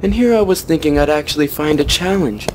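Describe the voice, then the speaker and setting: A man's voice: fairly high pitch, brisk, darkish tone, slight background noise, lightly acted, rough recording. A man playing a cocky, teasing old vampire with a sardonic, breezy manner. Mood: scornful teasing.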